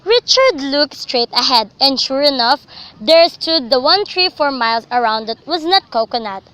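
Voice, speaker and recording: Bright, high-voiced young woman narrating. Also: animated, normal speed. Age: young adult. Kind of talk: scripted dramatic story narration. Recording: faintly noisy amateur recording.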